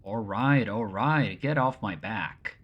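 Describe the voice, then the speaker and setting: A man's voice: fairly low pitch, expressive, takes it slowly, slightly rough, dull audio, exaggerated voice. A man playing a laid-back, vain young shifter who teases and reassures in an audio roleplay. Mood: dry teasing. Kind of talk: audio roleplay acting, casual banter.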